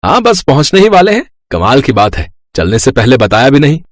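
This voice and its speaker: An actor playing a mild, hesitant, accommodating middle-class husband and younger brother in a scripted moral story. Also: male voice, medium pitch, huge pitch swings, fairly quick, dry recording, clean studio sound. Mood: hurried.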